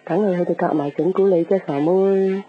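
A woman's voice: fairly low pitch, steady tone, moderate pace, lightly acted. An actress playing a kindly, homely middle-aged mother in a Cantonese radio drama. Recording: dull radio broadcast, faint music.

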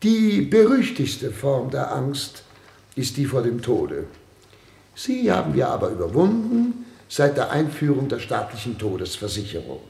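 An actor playing a pompous, fretful head of state in a German radio play, speaking in an oratorical, theatrical way. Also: male voice, medium pitch, wildly swooping pitch, darkish tone, exaggerated voice. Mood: needling, commanding. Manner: pompous, oratorical, theatrical throat-clearing and pauses. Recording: roomy, reverberant radio studio sound.

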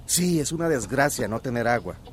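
A friendly countryman in a radio drama, played by a man, folksy and practical. His voice sits at a middle pitch, with faint background noise. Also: lively intonation, brisk, natural voice. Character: friendly, grateful rural man, practical neighbour.

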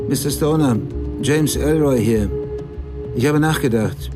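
An actor playing a middle-aged American male crime writer, narrating his dark memories in a deliberate, unsentimental radio drama style. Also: medium pitch, lightly acted. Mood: determined. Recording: music behind the voice.

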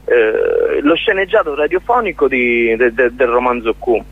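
A man being interviewed as a guest about his theatre collective, talking in a halting way with many restarts and repeated words. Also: medium pitch, expressive, creaky. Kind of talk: casual radio interview. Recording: tinny phone line.

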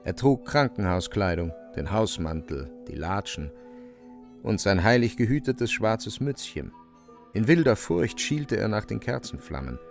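Low, gravelly male narrator. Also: very animated, a little slow, faint music behind. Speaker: narrator of a radio drama. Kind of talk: literary scripted narration.

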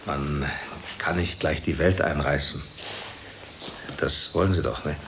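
An actor playing a jovial, flattering theatre director who talks down to a beginner with hesitant pauses and chuckles, in radio drama style. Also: male voice, very deep voice, crowd noise. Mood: flattering, paternal. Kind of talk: German radio drama acting.

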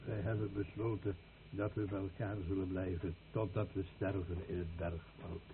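An actor playing the sober, resolute leader of weary fleeing villagers in a radio drama, measured and firm. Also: male voice, deep voice, level tone, close to an everyday voice. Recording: old radio play, tinny, faint noise.

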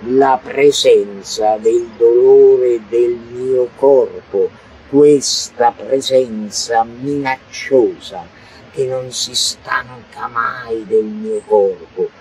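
A man reciting a theatrical monologue, swinging from shouts to hushed murmurs. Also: medium pitch, steady tone, extremely slow, poor audio. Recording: faint noise, radio broadcast.

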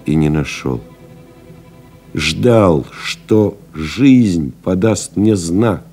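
An actor playing a weary, stoic pilot stranded in the desert, narrating gravely and deliberately in an audio play, with music behind him. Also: male voice, deep voice, warm tone, full character voice. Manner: grave, deliberate, brooding, with pauses. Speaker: actor narrating in first person.

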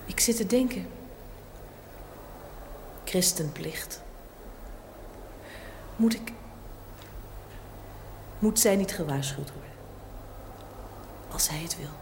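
An actress playing an anxious, grieving woman in a television drama, speaking in a hushed and halting way. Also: lowish voice, expressive. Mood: hushed, troubled. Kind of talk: naturalistic television drama acting. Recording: noisy, distant 1970s television soundtrack.

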